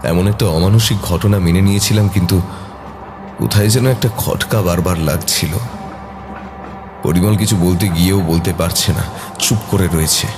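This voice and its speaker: Deep-voiced man narrating horror. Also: fairly even intonation, moderate pace, pauses often, music in the background. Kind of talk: scripted horror story narration.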